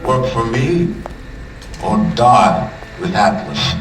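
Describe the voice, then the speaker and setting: A man playing an imperious, menacing industrialist who rules a city in a video game, speaking in measured, pompous oratory. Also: medium pitch, animated. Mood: coldly menacing. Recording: echoey, distant, game music behind.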